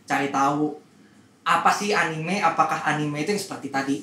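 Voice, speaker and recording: A man's voice: a little high, a little fast, bright, forceful tone. A man speaking as a blunt, opinionated host in a casual podcast discussion. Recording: roomy echo, distant mic, faint noise.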